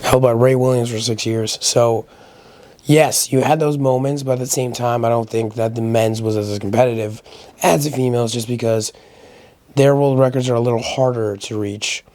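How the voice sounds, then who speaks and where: A man hosting a casual solo video commentary, giving opinions conversationally, his voice a little raspy as he gets over a sickness. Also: mid-range voice, fairly even intonation, normal speed, a little mellow. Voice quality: slightly raspy. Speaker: host of a powerlifting recap channel.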